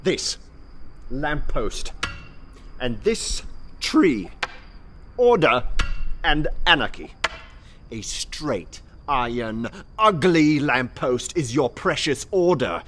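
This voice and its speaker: An actor playing a proud, easily wounded anarchist poet in a radio play, intense, indignant and grandiose. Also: male voice, fairly high pitch, a little hiss or hum. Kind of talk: theatrical radio play acting.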